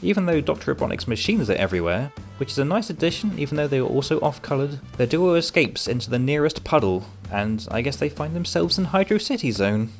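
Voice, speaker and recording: A man's voice: a little high, very animated, fast, gravelly, hardly any pauses, soft background music. A man delivering a scripted review narration as a reviewer, with sardonic, wry asides. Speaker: comic book reviewer.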